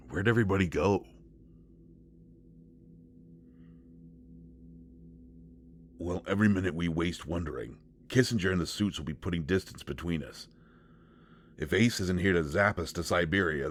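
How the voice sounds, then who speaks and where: Audio drama role: male actor as plain-spoken, nervous, protective country man, deep register, halting folksy delivery, slight noisy ambience. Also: fairly bright tone. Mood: searching, uneasy. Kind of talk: intimate roleplay audio drama acting.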